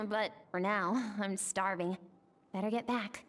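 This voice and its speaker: A woman's voice: medium pitch, takes it slowly, close to an everyday voice. A woman playing a spirited, curious and stubborn young girl in a video game. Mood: dreamy wistful. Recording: long reverb, faint noise.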